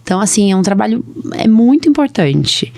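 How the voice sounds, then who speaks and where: A woman's voice: medium pitch, very animated, brisk, creaky, a little mellow. A woman with a Brazilian Portuguese accent, a doctor hosting a podcast, chatting casually and often checking in with tag questions. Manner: informal, frequent tag questions. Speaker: podcast host, allergist doctor.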